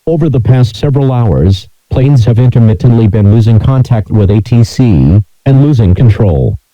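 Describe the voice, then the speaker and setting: A man's synthesized voice reading scripted emergency alert messages in a flat, measured, robotic delivery. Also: mid-range voice, no echo, dull audio.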